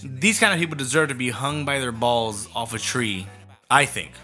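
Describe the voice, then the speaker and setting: Blunt ranting man, bright voice. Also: mid-range voice, normal speed, soft background music. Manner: blunt, profane, animated. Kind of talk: casual comedic rant.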